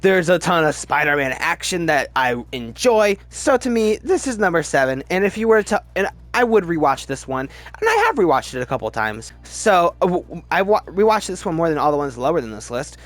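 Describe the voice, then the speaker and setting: A man's voice: on the high side, sing-song, fairly quick, few pauses, fairly bright tone, no room sound. A man giving casual, opinionated review commentary as a video host, talking emphatically and often stammering and repeating words. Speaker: video host ranking films.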